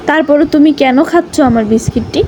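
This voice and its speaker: A woman's voice: high-pitched, a little fast, a little mellow, slight background noise, natural voice. A woman voicing an indignant, pleading old woman in a children's cartoon story. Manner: scolding, pleading.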